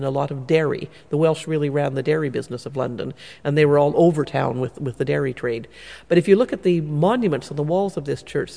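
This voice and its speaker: A man speaking as a church historian, explaining history in a measured, knowledgeable way inside a church. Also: a little high, creaky, a little mellow, in a large hall. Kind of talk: explanatory interview answers.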